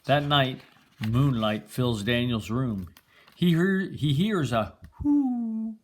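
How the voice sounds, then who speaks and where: Older guy reading a kids picture book out loud, really slow, lots of up and down in the voice. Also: mid-range voice. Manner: slow, deliberate, expressive storytelling. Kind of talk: read-aloud children's storybook narration.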